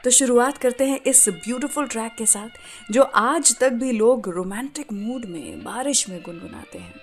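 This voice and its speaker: A woman radio host with an Indian accent speaks in a fairly high, very expressive voice. She narrates like a storyteller, asks the listener questions and slips in English phrases. Light music plays behind her. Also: normal speed. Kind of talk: scripted radio show narration, conversational storytelling.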